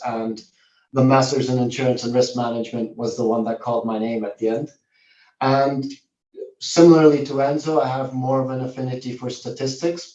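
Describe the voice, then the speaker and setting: A man's voice: mid-range voice, warm tone. A young man speaking fluently and articulately as an alumnus guest over a video call from an office. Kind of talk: casual remote testimonial. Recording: roomy, distant, dull video-call audio.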